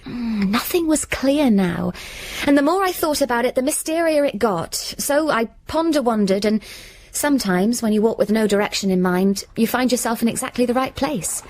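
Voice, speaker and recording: A woman's voice: a little high, lively intonation, normal speed, a little mellow, no room sound. An actress playing a puzzled, wry woman who narrates and speaks in a surreal radio drama. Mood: musing.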